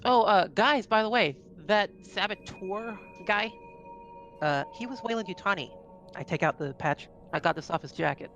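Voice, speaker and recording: A man's voice: high voice, normal speed, lo-fi sound, music in the background. A man chatting casually as a player in a tabletop roleplaying game.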